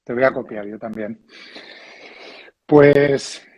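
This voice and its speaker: Male host for a video call chat: husky, relaxed, slow and friendly. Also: mid-range voice, poor audio. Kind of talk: conversational online interview.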